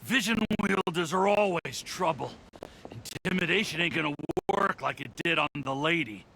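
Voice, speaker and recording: A man voicing a menacing, dismissive street thug in an anime video game, gravelly, with slangy tough-guy talk. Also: on the high side, lively intonation, normal speed, pushed and bright, slight background noise, heavily acted, low-quality recording. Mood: grudging, sneering.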